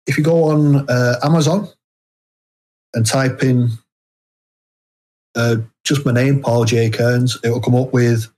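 A man's voice: medium pitch, fairly even intonation, slow, pauses often, darkish tone. A man from the UK with a British accent, speaking as an interview guest in a casual, hesitant, self-deprecating way. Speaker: guest, indie horror author.

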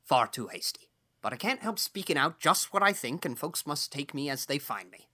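A woman voicing a gruff, outspoken old male farmer in an audiobook, blustery and blunt but kindly. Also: low voice, very animated, normal speed, pushed and bright, voice pushed down, heavily acted. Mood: brisk, forgiving. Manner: blustery, blunt, folksy exclamations. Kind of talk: audiobook character dialogue.

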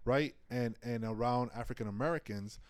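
A man chats loosely on a panel, swearing and restarting as he goes. His pitch sits in an ordinary middle range. The sound is dry and close, with almost no room around it. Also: moderate pace, clear, resonant tone, dry recording. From New York. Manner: loose, profane, restarts a lot. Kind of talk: casual panel chat.

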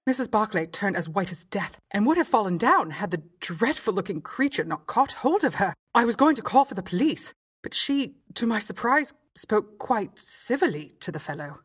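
A woman's voice: mid-range voice, sing-song, moderate pace, slightly rough, pitched above the performer's own voice. A woman voicing a timid but shrewd young lady earnestly recounting what she saw. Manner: earnest, confiding.

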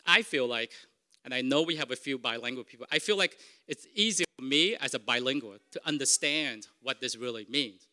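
A man's voice: mid-range voice, expressive, a little fast, sharp tone. A middle-aged man whose first language is Vietnamese, preaching an informal sermon in a conversational, self-correcting way and checking in with the congregation. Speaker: pastor.

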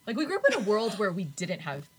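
A woman's voice: mid-range voice, very animated, quick pace, a crowd in the background. A young woman co-hosting a podcast, chatting casually with frequent laughs and lots of "like". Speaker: podcast co-host. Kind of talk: casual chat.

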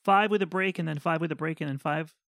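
Male podcast co-host, a bit high, gravelly, dry close mic; wry, rambling banter. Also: fairly even intonation, fast, a bit bright, dry recording. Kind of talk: casual podcast chat. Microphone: studio podcast mic.

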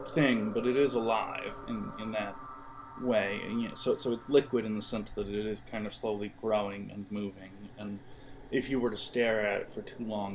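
A man running a tabletop game as game master, improvising scene descriptions in a hesitant, halting way. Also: on the low side, noisy background.

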